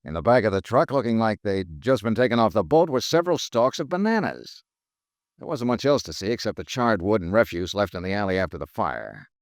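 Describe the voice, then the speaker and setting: A persistent insurance investigator telling his own story in a 1950s radio crime drama. His low voice moves widely in pitch and sounds dry and close, with a wry, matter-of-fact delivery. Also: very animated, a little fast, no room sound. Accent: American. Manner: wry, dry first-person narration. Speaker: lead and narrator.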